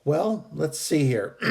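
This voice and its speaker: A man with a hoarse voice from a cold, giving a casual spoken lesson in a relaxed, halting way with frequent fillers. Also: fairly high pitch. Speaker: astral projection teacher. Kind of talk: casual instructional talk.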